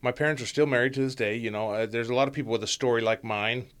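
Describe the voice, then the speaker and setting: A man's voice: mid-range voice, fairly even intonation, quick pace, fairly bright tone, clear, resonant tone. A man in his thirties from Utah, a podcast guest, talking in a plainspoken, easygoing and modest way. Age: 30s. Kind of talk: casual interview chat.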